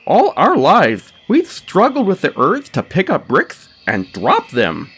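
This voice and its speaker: An excitable, earnest pelican from a comic storybook, acted out by a man. The voice is pitched high and swings dramatically, with faint music behind it. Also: slow, husky, full character voice. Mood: earnest, pleading. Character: excitable compulsive pelican in a hard hat. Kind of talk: comic storybook character reading.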